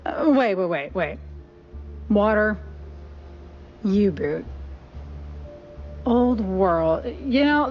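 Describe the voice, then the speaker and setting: Sardonic mid-pitched noir newspaperwoman. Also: muffled recording. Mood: realizing, excited. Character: sharp, skeptical, quick-witted newspaper woman in noir cartoon. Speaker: journalist. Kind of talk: 1930s noir game acting.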